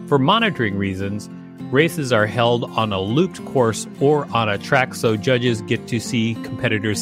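A man's voice: medium pitch, a bit bright. A man hosting and reading an encyclopedia article aloud in a scripted narration style, with brief casual asides. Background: guitar music.

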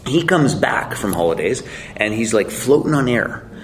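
A man's voice: medium pitch, sing-song, normal speed, a little hiss or hum, rough recording. Blunt, self-mocking man telling a story. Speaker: guest. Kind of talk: casual storytelling.

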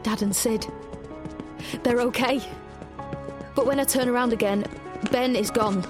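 A woman's voice: on the high side, steady tone, measured pace, lightly acted. A woman playing a reflective, wry young heroine, narrating her own story intimately in an audio drama. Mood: tense, wondering. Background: music.

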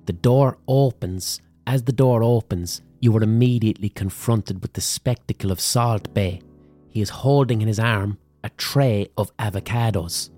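A man's voice: lowish voice, normal speed, faint music behind. Sweary Irish man with a Limerick accent. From Ireland. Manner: sweary, animated storytelling with mock accents. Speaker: podcast host. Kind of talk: comic monologue.

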